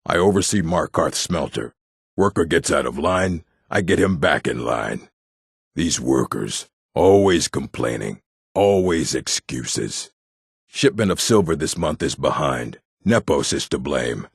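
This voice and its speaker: A man voicing a gruff, proud orc warrior and smith in a fantasy video game, blunt and stern, with a gravelly, husky voice. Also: very deep voice, no room sound, clean studio sound, full character voice. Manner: blunt, stern, clipped phrasing.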